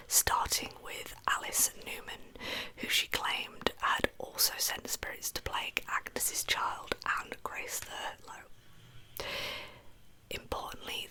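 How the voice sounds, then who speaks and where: A woman narrating in a breathy, unvoiced ASMR whisper, hushed and unhurried, like a storyteller. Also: rough recording. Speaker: host of an ASMR true crime channel. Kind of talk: soft-spoken ASMR storytelling.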